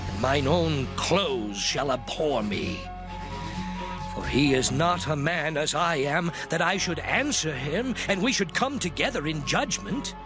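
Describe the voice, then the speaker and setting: An actor playing a weary, anguished man pleading with God in a solemn, impassioned, theatrical scripture drama over music. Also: fairly high pitch. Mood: weary lament. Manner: solemn, impassioned, declamatory, archaic phrasing. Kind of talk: dramatized Bible reading, theatrical monologue. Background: music behind the voice.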